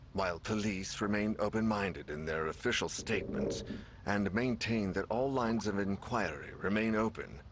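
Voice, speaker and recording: An actor playing a weary, troubled man investigating a horror mystery in a video game, speaking gravely. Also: on the low side, a little hiss or hum. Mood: grim. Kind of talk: dramatic video game narration and dialogue. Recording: loud music behind the voice.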